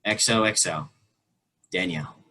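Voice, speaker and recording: Low-voiced reader for an emotional teen role in a video call play reading, sincere and subdued. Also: male voice, measured pace, a little hiss or hum. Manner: earnest, quietly emotional.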